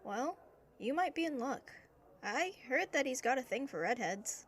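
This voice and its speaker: An actress playing a teasing, meddling workmate and friend in an audio drama. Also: female voice, high voice, expressive, moderate pace, breathy edge.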